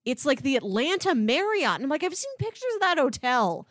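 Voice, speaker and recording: A woman co-hosting a casual pop culture podcast, talking like an excitable, gushing fan. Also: high voice, brisk, no room sound, studio quality. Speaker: podcast co-host.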